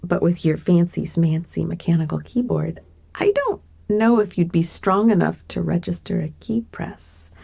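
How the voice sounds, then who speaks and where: A woman acts out a towering yet gentle secretary in an intimate ASMR roleplay. Her voice is very breathy and mellow, sitting a little low. She speaks tenderly, with coy, doting teasing. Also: fairly even intonation, moderate pace, exaggerated voice. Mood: helpful, playful. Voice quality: breathy, soft, often slipping into whisper. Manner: tender, coy, hesitant, giggly asides. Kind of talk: ASMR roleplay, intimate one-sided conversation.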